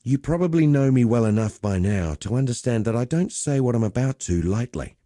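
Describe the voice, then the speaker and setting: An actor playing a roguish, cheerful veteran pit fighter in a video game, talking in a colloquial, wry, swaggering storyteller's way. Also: male voice, medium pitch, lively intonation, fairly quick, mellow, no echo, clean studio sound, natural voice. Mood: earnest, admiring. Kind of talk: scripted game dialogue.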